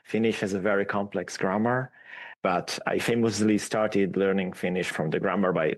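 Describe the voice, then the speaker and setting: A man whose first language is Italian, a podcast guest, explaining his views at length in a steady, reflective way. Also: sits low, normal speed. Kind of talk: casual podcast interview.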